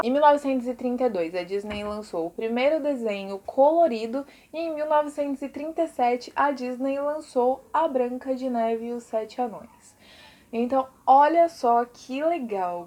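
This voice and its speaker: A woman's voice: a little high, darkish tone. A Brazilian woman teacher giving an informal recorded lesson to pupils, chatty and explanatory, often adding little check-in tags.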